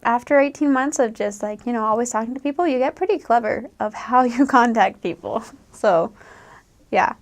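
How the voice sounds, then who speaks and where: Chatty young American woman, higher voice. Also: moderate pace. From United States. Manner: chatty, laughs often, acts out quoted voices. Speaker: returned missionary. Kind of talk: casual advice talk.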